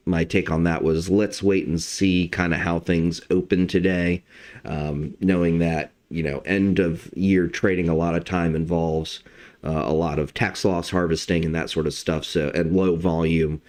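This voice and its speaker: A very low-pitched man with a slightly hoarse voice, hosting a live trading stream. He speaks in a relaxed, conversational way and fills his pauses with uh and um. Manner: relaxed, lots of fillers like uh and um. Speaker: trading analyst host. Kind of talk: casual live-stream market commentary.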